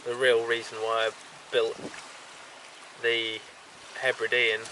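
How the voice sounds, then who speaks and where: Halting man on a windy sailboat. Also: medium pitch, completely flat delivery, bright, forceful tone, low-quality recording. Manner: halting, lots of ums and restarts. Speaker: solo sailor vlogger. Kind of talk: casual video diary. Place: outdoors on a small sailboat at sea. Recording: wind and boat noise. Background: wind, water and boat sounds.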